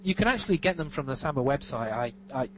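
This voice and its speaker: Lively English man, rambling and wry. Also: mid-range voice, fast talker, slight background noise. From England. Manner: rambling, self-mocking, many restarts. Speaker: guest. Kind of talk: casual interview chat. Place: living in California, recorded remotely.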